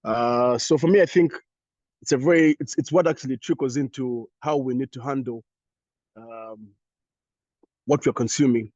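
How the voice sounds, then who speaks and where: A young Ugandan man speaking English with a Ugandan accent, answering thoughtfully as a panelist in an online discussion, with many pauses and restarts. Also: medium pitch, a little mellow, dry recording, highs cut off.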